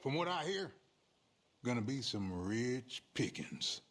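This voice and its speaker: An actor playing a shady, folksy frontier fixer in a video game, with an American accent and a knowing drawl. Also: male voice, mid-range voice, sing-song, takes it slowly. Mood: scheming, eager. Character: shady male frontier fixer, sly, folksy outlaw schemer. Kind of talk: video game cutscene acting.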